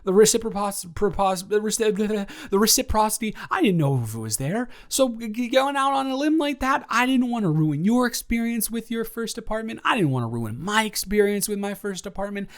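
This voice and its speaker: A man's voice: high-pitched, expressive, a little fast, few pauses. Man acting as a lovestruck, rambling young roommate. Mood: flustered, rambling. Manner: rambling, stammering, earnest, awkwardly self-deprecating. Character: lovestruck young male roommate nervously confessing love. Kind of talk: intimate improvised audio roleplay monologue.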